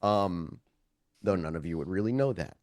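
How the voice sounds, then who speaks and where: A man's voice: sits low, expressive, moderate pace, darkish tone, no echo. A man narrating a tabletop roleplaying game as storyteller, improvising descriptive scenes and rephrasing as he goes.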